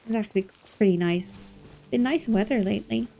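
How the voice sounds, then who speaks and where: Mellow-voiced woman streaming a game. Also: mid-range voice, brisk, vocal fry, faint music behind, poor audio. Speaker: livestreamer. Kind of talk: casual game stream commentary.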